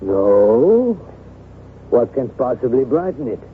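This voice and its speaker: An actor playing a loyal, concerned gentleman in earnest, polite, theatrical old-time radio drama style. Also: medium pitch, big pitch swings, slow. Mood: curious. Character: genteel, concerned, loyal gentleman friend and cousin. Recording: tinny band-limited vintage radio, hissy.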